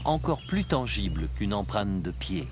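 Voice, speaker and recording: A man narrating a documentary in a measured, explanatory scripted voice-over, recorded on a studio mic. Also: on the low side, sing-song, very noisy. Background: background music.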